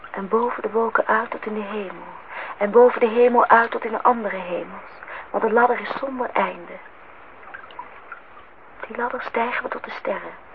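An actress playing a gentle, dreamy, candid woman in a Dutch radio drama, speaking in a lyrical, earnest way. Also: a little high, fairly even intonation, normal speed, pauses often, lightly acted. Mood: tender, earnest. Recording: tinny, band-limited, noisy old radio recording.

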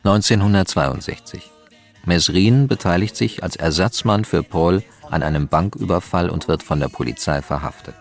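A documentary-style chronicler in a radio play announces events factually. His voice is very deep, and soft music runs faintly behind it. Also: normal speed. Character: male chronicler reporting a criminal's career by year.

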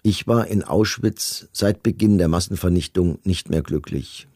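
A middle-aged commandant gives testimony in a radio play. His voice is low and dark, with a gravelly rasp. He speaks in a measured, bureaucratic way, detached and matter-of-fact. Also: no room sound, clean studio sound. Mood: sombre, confessional. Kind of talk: documentary radio play, reading written testimony.

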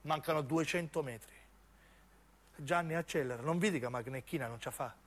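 A man narrates as a stage actor, in intense, deliberate phrases of dramatic radio storytelling. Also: a little high. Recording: piano music under the voice. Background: piano and keyboard music.

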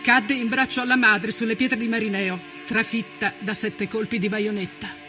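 A man narrating a scripted historical account for radio as a storyteller, in a solemn, measured, declamatory manner. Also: high-pitched, level tone, over music.

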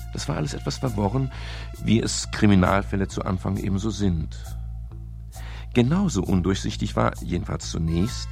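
An actor playing a wry, hard-boiled private detective, narrating his case in a dry, deliberate, ironic way for a radio crime drama. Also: fairly low pitch, vocal fry. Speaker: first-person narrator.

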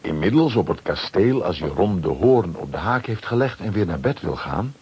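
A man's voice: low-pitched, very animated, normal speed, darkish tone, slightly rough. A man narrating a children's audio drama as a scripted storyteller.

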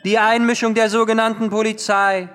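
An actor playing a pompous, grandiloquent aristocratic amateur detective in a theatrical radio drama. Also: male voice, high-pitched, level tone, fairly quick, fairly bright tone. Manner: pompous, grandiloquent speech-making. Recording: long hall reverb with music underneath.

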